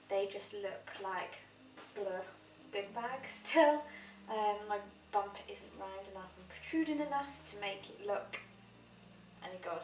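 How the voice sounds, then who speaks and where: A young woman, slightly croaky from a cold, chatting casually through a pregnancy diary vlog with many "um" fillers. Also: medium pitch, a little hiss or hum. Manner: chatty, frequent "um" fillers, matter-of-fact. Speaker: vlogger. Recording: echoey room, mic at a distance.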